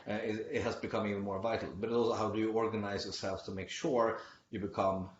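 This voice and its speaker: A man's voice: fairly low pitch, little pitch movement, quick pace, a little hiss or hum. A middle-aged man from Sweden giving an online conference presentation, hesitant with many fillers and restarts. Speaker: conference speaker. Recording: muffled lo-fi audio in an echoey room.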